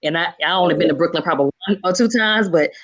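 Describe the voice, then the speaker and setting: Lower-voiced woman hosting a casual interview. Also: fast talker. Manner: encouraging, affirming. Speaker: interviewer, show host.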